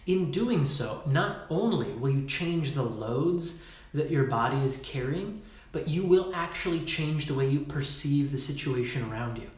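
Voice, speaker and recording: A man's voice: on the high side, expressive, a little slow, a little mellow, reverberant. A man leading a Facebook Live talk as a workshop leader, emphatic and casual, swearing now and then and acting out postures as he explains.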